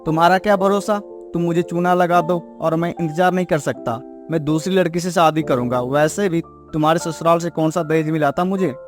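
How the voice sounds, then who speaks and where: Cartoon husband, young, cold and scornful, acted by a man, slightly high male voice. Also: fairly even intonation, normal speed, hardly any pauses, music in the background, natural voice. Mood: cold, indignant. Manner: scornful, dismissive. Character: cold, angry young husband, dismissive and greedy. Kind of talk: acted dialogue in Hindi moral-story cartoon.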